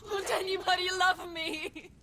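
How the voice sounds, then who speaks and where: A woman's voice: very high-pitched, fairly even intonation, measured pace, heavy background noise. A woman playing a helpless, lonely girl in a game cutscene, tearful and imploring. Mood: tearful pleading. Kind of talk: dramatic video game cutscene acting.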